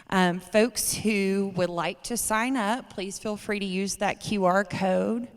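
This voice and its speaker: Clear-voiced woman moderating a town hall. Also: mid-range voice. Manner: measured, courteous, with frequent fillers. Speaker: state education official hosting a town hall. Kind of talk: public meeting moderation. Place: large meeting room with a podium. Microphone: podium microphone. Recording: long hall reverb.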